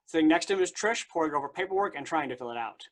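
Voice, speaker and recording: A man's voice: a little high, expressive, very fast. A man reading stage directions aloud as the narrator of a staged play reading.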